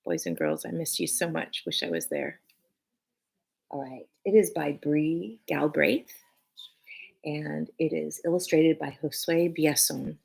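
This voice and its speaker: Low, husky woman reading to children. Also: animated, darkish tone. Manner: addresses young listeners, pausing between short phrases. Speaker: teacher reading a picture book aloud. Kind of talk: expressive children's read-aloud with casual asides.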